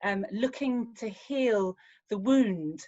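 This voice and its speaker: A woman's voice: mid-range voice, expressive, slow pace. Woman interviewer on a dull lo-fi line. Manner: appreciative, gentle questions. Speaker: interviewer host. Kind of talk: online Q&A interview. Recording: dull lo-fi sound.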